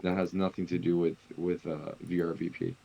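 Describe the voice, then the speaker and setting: A man with a very deep, gravelly voice speaks in a flat, even tone. He co-hosts a casual live trading stream and urges the audience to speak up in an unhurried, coaxing manner. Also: highs cut off, a little hiss or hum.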